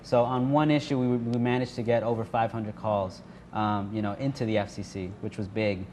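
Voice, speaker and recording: A man's voice: fairly low pitch, little pitch movement, normal speed, darkish tone. A man giving an informal workshop presentation as an activist speaker in a live room. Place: indoor workshop room with an audience. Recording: noisy live room recording.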